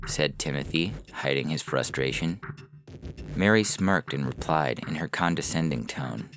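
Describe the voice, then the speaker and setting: A man narrates a story in an extremely deep, low voice. His delivery is scripted storytelling, with music clearly playing underneath. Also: a little slow. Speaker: narrator of a science fiction story.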